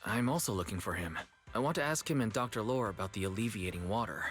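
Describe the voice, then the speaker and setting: A man playing a calm, level-headed young investigator in a video game, measured and polite. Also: fairly low pitch, faint music behind. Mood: polite, curious. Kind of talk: video game story dialogue acting.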